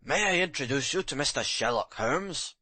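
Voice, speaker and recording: A man's voice: fairly high pitch, lively intonation, normal speed, pushed and bright, no echo. A man playing an eager, proud police detective, blunt and self-satisfied, in an audiobook story. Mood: triumphant.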